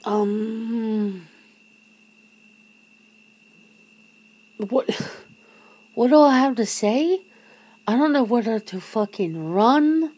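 High-voiced, slow-talking disbelieving girlfriend, acted by a woman. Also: mellow, heavily acted, low-quality recording. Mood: nervous, alarmed. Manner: halting, breathy sighs and chuckles, often dropping to a whisper. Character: young woman, girlfriend of a vampire, nervous, disbelieving, teasing. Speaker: ASMR roleplay performer. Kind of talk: ASMR roleplay, one side of an intimate conversation.

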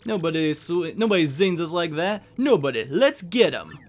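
A man's voice: a little high, very animated, normal speed, faint music behind. A man voicing a cocky, sneering little creature bully in a video game fan dub. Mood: sneering, aggressive. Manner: sneering, taunting.

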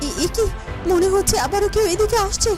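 A woman's voice: very high-pitched, fairly even intonation, fairly quick, over music, pitched below the performer's own voice. A woman voicing a righteous shapeshifting snake woman in a fairy-tale cartoon, dramatic and emphatic. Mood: anxious.

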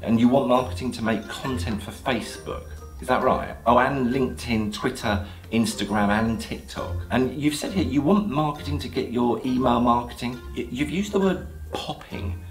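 A man's voice: mid-range voice, lively intonation, normal speed, roomy sound, soft background music. Dry man on a comic phone call. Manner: dry, incredulous, reading back a list. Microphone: phone to ear, close camera.

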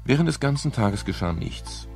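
An actor playing a dry, laconic private detective who narrates his own case in a measured, wry way in a seventies radio crime play. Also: mid-range voice, big pitch swings, creaky, a little mellow, soft background music. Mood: dry narration. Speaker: actor and first-person narrator in a radio drama. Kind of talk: 1970s German radio crime drama acting. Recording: noisy old radio recording with clicks.

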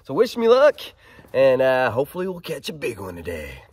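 A man in his thirties with a hoarse, froggy voice from a head cold, chatting casually as he fishes from a kayak on a creek, filmed by a pole-mounted camera. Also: medium pitch, a little fast, dry recording. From Arkansas. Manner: chatty and upbeat, excited while reeling in fish. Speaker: fishing vlogger. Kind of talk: casual outdoor vlog. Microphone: action camera on a pole mount.

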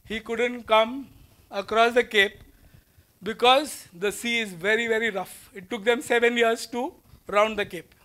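A man with an Indian English accent lectures a packed auditorium through a lapel mic. His voice sits unusually high and rings bright. He keeps the talk conversational, firing rhetorical questions at his students. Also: fairly even intonation, a little slow. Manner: walks the stage gesturing while speaking. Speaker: guest lecturer. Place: large auditorium with seated students.

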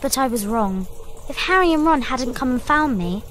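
A woman playing an earnest, bookish young witch at school in a video game, speaking in a hurried manner. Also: sits high, lively intonation, a bit bright. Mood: contrite. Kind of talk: video game cutscene acting.

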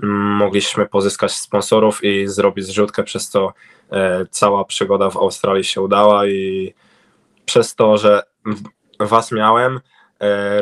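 A man's voice: lowish voice, a bit bright. A young man answering interview questions over a video call from a room at home, hesitant with many fillers and restarts. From Poland. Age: young adult. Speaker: interviewed speedway cycling rider. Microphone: video call webcam.

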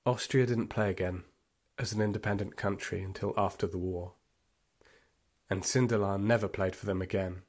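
An actor playing a fervent, bitter football supporter recalling the Nazi era in an audio play monologue, with deliberate pauses. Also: male voice, fairly low pitch, fairly even intonation, a little mellow, lightly acted. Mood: grave, bitter. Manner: impassioned storytelling with deliberate pauses. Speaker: narrator. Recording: music behind the voice.

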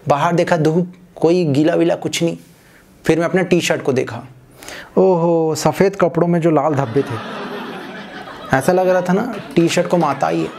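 A young man doing a stand-up comedy set on a small stage, telling an animated story and acting out other people's voices. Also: a little high, moderate pace, vocal fry. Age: young adult. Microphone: handheld mic. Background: audience laughter.

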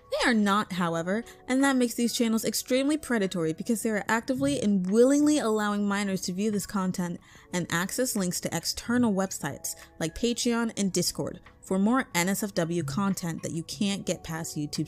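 A woman co-narrating a scripted video essay in an emphatic, openly disapproving way. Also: medium pitch.

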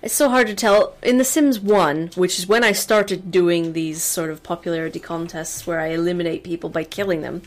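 A woman's voice: on the low side, steady tone, moderate pace, hardly any pauses. Woman, thirties, American, sarcastic, sweary, casual stream chat. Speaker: co-host. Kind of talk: casual let's-play chat.

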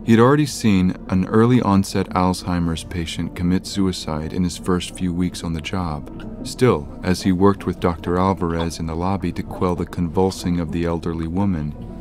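A man's voice: low voice, steady tone, normal speed, few pauses. A man narrating a scripted horror story as the narrator of an audio drama. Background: music.

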